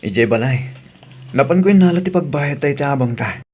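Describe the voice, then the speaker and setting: A man with an Ilocano accent playing an earnest, gentle young student boyfriend in an audio drama. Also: medium pitch, expressive, fairly quick, a little hiss or hum, natural voice.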